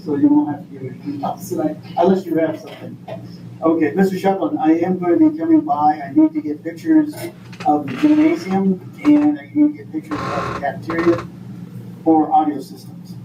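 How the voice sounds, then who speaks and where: An older man from Vermont speaking informally at a public meeting, in a halting, folksy, rambling way. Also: very high-pitched, expressive, creaky, lo-fi sound. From Vermont, United States. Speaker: school board member leading the meeting. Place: indoor meeting room. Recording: echoey room, distant mic, noisy background.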